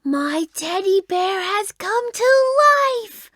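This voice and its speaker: A man voicing an excitable, affectionate little boy in a storybook, squeaky, drawn-out and childlike. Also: no echo, studio quality, heavily acted. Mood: amazed.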